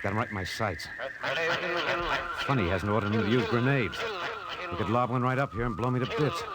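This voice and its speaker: An actor playing a bitter, idealistic renegade spaceman in a 1950s radio drama, with an intense, halting, brooding delivery. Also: medium pitch, expressive, a loud crowd, natural voice. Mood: brooding, torn. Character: bitter idealistic renegade spaceman, an archaeologist defending dead Martians. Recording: noisy vintage radio recording.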